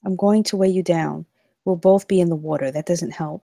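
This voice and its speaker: A woman acting a shaken but caring flight attendant in a film table read, earnest and quietly persistent. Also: fairly low pitch, steady tone, moderate pace, gravelly, dry recording, clean studio sound. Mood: resistant, anxious.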